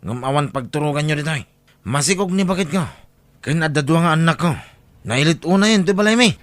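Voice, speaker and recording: A man's voice: fairly high pitch, expressive, brisk, a bit bright, no room sound. A man playing an unwelcoming, brusque family man with an Ilocano accent in an audio drama. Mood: reluctant.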